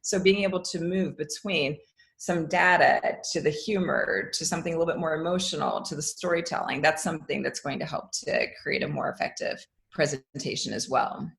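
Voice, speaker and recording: Woman, slightly low pitch, conversational, anecdotal, podcast guest. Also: fairly quick, creaky, a bit bright. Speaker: podcast guest, educator and author. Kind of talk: conversational interview answers.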